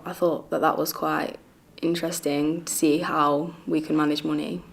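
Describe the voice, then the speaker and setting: A teenage girl gives casual feedback as a student. Her voice is very low for a female and stays flat in pitch. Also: moderate pace, creaky, noisy background.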